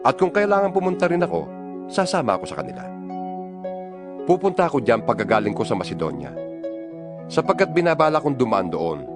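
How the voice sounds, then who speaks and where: A man's voice: medium pitch, big pitch swings, brisk, pauses often, natural voice. A man with a Filipino accent playing an apostle exhorting fellow believers in an emphatic, oratorical dramatized Bible reading. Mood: earnest, instructive. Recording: music bed behind the voice.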